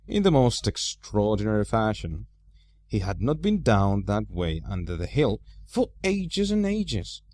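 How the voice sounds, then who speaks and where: Animated lowish-voiced man reading a storybook. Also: dry recording. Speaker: amateur book narrator. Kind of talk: storybook read-aloud.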